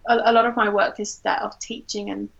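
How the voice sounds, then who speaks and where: A woman's voice: medium pitch, little pitch movement, a little fast, vocal fry, highs cut off. A woman from Singapore, a poet and teacher, talking reflectively as an interview guest and searching for her words. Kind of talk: reflective literary interview talk.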